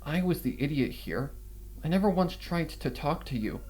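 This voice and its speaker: A man's voice: on the high side, lively intonation, normal speed, crowd noise, lightly acted, rough recording. A man voicing an anxious, self-blaming female pony in a fan fiction reading, tentative and worried.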